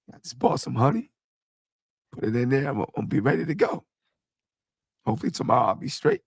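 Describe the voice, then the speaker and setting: A hoarse man hosts a casual fan podcast, his strained voice very gravelly and husky. He talks in an animated, rambling way, with a lively rise and fall. The sound is dry and close. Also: mid-range voice, no room sound. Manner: animated, hype greetings, rambling. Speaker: podcast host.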